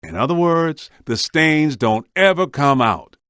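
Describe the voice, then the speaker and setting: Video game ghost hunter: streetwise wisecracker, higher-pitched male voice, wildly animated, slangy and punchy. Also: measured pace, clear, resonant tone, no echo, studio quality. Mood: matter-of-fact. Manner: casual, slangy, punchy delivery.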